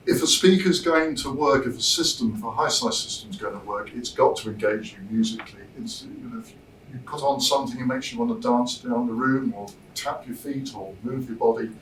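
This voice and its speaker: A man with a mid-pitched voice explains a product as its designer. His delivery is measured and informal, with pauses mid-thought. He is picked up from a distance in a reverberant show room. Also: a bit bright. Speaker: loudspeaker designer presenting a product. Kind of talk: informal product presentation to visitors. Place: demo room at a hi-fi show, indoors. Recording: roomy, distant mic, noisy show room.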